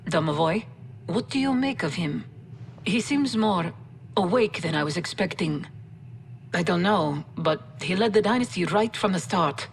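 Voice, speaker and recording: A composed game officer, wry and familiar as she briefs. Her voice sits low with plenty of creak, and there is faint noise behind it. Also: animated, measured pace. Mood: measured, confiding. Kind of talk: conversational video game briefing.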